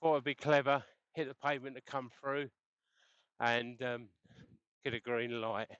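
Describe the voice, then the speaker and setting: A man's voice: mid-range voice, fairly even intonation, a little slow, fairly bright tone, clear, resonant tone, no echo, muffled recording. A man chatting casually while cycling outdoors, rambling and chuckling, recorded through a helmet headset mic. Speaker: cycling vlogger. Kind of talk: casual chat while riding. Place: outdoors on a bike on the road. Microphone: helmet intercom headset mic.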